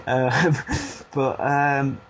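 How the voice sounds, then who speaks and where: A man's voice: medium pitch, drawn-out words, fairly bright tone, a little hiss or hum. Rambling Barnsley-accented man. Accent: Yorkshire, Barnsley. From Barnsley, England. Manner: rambling, self-deprecating, frequent restarts and fillers. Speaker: music producer turned coach. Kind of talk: casual podcast interview.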